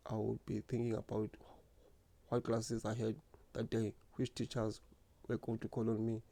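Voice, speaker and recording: A man telling his own story in a slow, earnest, reflective way, stuttering often with repeated words and restarts. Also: fairly low pitch, monotone, creaky, warm tone. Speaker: speaker sharing lived experience. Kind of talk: personal reflective monologue.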